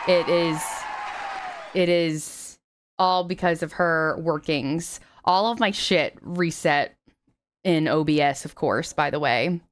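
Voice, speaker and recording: Chatty streamer woman, slightly raspy. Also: fairly low pitch. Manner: chatty, swears freely, animated greetings. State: slightly raspy after flu. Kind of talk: casual stream chat greeting viewers. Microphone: headset.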